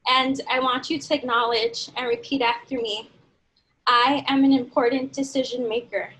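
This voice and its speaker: Young woman with a high voice, earnest speaker rallying a crowd. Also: steady tone, moderate pace, fairly bright tone, poor audio. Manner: earnest, motivational, leads audience in call-and-response. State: dry throat. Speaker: speaker at a community event. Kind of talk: personal speech to an audience.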